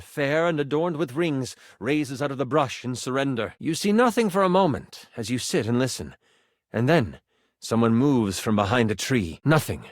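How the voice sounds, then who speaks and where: A personified gaming die who runs a fantasy tabletop adventure with dramatic, playful flair. The pitch sits fairly high and swings widely, in a close, dry video game recording. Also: male voice, no echo. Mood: mysterious. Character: excitable male D20 die personified as dramatic game master. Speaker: game master narrator. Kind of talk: theatrical tabletop fantasy storytelling.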